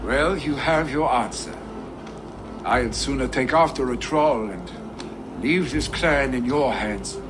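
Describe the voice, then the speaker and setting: An actor playing a wild, brash, restless Viking warrior in a video game cutscene. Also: male voice, medium pitch, slow pace, music in the background, natural voice. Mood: brooding.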